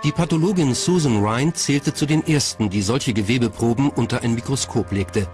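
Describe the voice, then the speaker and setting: A man's voice: medium pitch, normal speed, darkish tone, soft background music. A man reading scripted documentary narration as the narrator.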